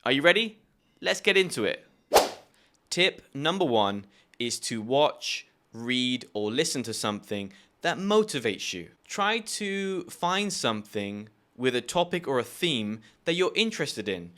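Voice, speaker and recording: A man's voice: medium pitch, big pitch swings, sharp tone. A young man hosting a friendly language-learning lesson, telling stories and giving tips in an upbeat, chatty way. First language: English. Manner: upbeat, chatty storytelling with quick asides. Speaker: language teacher and video host. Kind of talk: friendly explanatory video lesson.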